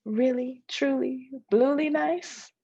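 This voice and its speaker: Woman acting a husky, needy young girl. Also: high-pitched, slow pace, dark tone, no room sound, lo-fi sound, voice pushed up, heavily acted. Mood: pleading. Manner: childlike, insistent, questioning. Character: proud, needy young girl craving reassurance.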